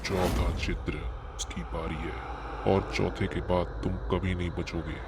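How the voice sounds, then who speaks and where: Man as a slow, ominous demonic living drawing. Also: low-pitched, little pitch movement, heavy background noise. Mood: threatening. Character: menacing demonic living drawing from a cursed pencil. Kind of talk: cartoon horror story voice acting.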